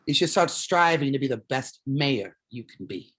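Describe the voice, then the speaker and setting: A woman's voice: extremely low pitch, lively intonation, moderate pace, a bit bright, breathy edge, exaggerated voice. A woman in a staged reading playing an impassioned, defiant leader of a community theater company, with a blunt, emphatic delivery. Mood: impassioned, insistent. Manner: blunt, emphatic speech-making.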